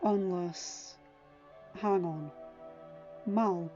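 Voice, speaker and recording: Tender young boyfriend, played by a woman narrator: soft, warm dark tone, concerned, faint music behind. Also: on the low side, very slow. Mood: puzzled concern. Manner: soft, gentle. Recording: dull lo-fi audio.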